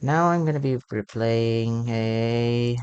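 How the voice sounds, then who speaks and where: Slow-paced gaming creator, halting and rambling. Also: mid-range voice, darkish tone, clear, resonant tone, dull audio. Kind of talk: casual let's play commentary.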